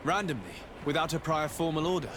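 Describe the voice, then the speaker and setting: Man acting as a composed, higher-voiced young noble envoy. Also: animated, natural voice. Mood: stern, probing. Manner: formal, measured, articulate. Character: composed diplomatic young male noble legate, probing. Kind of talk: video game cutscene acting. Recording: noisy outdoor ambience behind the voice.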